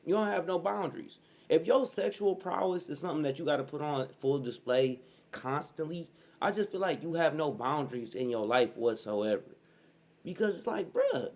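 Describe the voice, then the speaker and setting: A man's voice: a little high, big pitch swings, moderate pace, a little mellow. A man in his early thirties giving blunt, profane reaction commentary as host, keeps saying "bro". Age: 30s.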